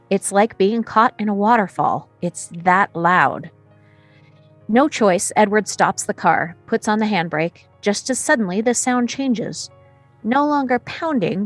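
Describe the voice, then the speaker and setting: A woman's voice: medium pitch, no room sound. A woman narrating scene headings and action descriptions as scripted narration in a table read.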